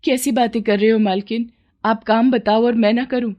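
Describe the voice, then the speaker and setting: A woman's voice: on the high side, fairly even intonation, normal speed, dull audio, close to an everyday voice. A poor village mother played by a female narrator speaks humbly and earnestly. She is deferential and eager to work, a figure from a dramatized moral story. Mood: eager, humble.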